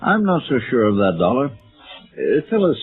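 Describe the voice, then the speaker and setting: An actor playing a cautious, measured male defense lawyer with a restrained, formal manner in a radio noir drama. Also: medium pitch, huge pitch swings, faint music behind. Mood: wary, objecting. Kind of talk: old-time radio noir acting. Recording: muffled vintage radio broadcast recording.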